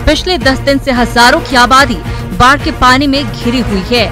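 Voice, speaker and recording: A woman reading scripted regional news narration in a formal, steady way. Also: sits high, moderate pace, over music. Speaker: news anchor.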